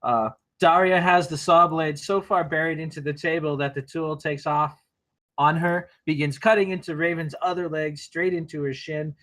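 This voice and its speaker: A man's voice: a little high, fairly even intonation, normal speed, fairly bright tone. A man narrating a screenplay table read, reading action lines aloud informally with wry asides.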